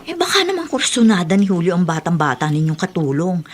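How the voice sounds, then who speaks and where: A woman's voice: fairly low pitch, sing-song, fast, a little hiss or hum. An actress in a Filipino radio drama playing a gossipy, suspicious woman friend who speaks in a hesitant, confiding way. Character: gossipy, cautious, suspicious adult female friend.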